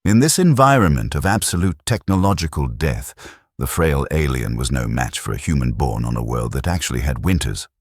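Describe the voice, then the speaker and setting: A man narrating a science fiction audiobook in a steady, dramatic storytelling manner, close to a studio mic. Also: a bass voice, fairly quick, slightly rough, no room sound. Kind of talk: scripted narration.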